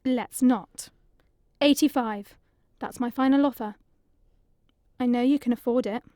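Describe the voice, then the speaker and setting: An actress voicing a brash, hard-drinking princess who hunts monsters. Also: female voice, fairly high pitch, a little slow, mellow, dry recording, studio quality, lightly acted. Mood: sardonic. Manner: cocky, crude, sardonic.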